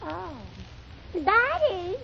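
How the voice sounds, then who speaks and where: A woman's voice: very animated, drawn-out words, gravelly, full character voice. A woman voicing a cheeky, pestering little girl who asks endless literal-minded questions, in 1930s radio comedy. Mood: innocent, pestering. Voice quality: squeaky childlike voice. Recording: dull, hissy 1930s radio recording.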